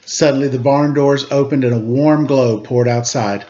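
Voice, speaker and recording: A man narrates a children's storybook with dramatic, expressive delivery. His voice sits at an ordinary male pitch. The recording is dull and lo-fi. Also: moderate pace. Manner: dramatic read-aloud, acting out sound effects and dialogue. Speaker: storyteller reading a children's book aloud.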